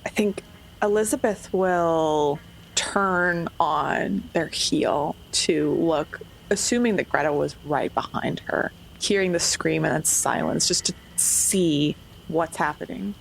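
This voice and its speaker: Low, creaky-voiced female tabletop player. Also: takes it slowly, slight background noise, low-quality recording. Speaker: player in a tabletop roleplaying game. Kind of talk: casual third-person narration of character actions.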